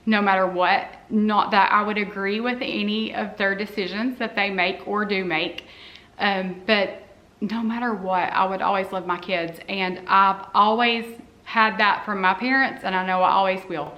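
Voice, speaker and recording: A woman's voice: mid-range voice, fairly even intonation, normal speed, muffled recording. A woman in her forties talking casually to camera as a vlogger, earnest and heartfelt, rambling with frequent "um"s. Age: 40s. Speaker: cleaning vlogger.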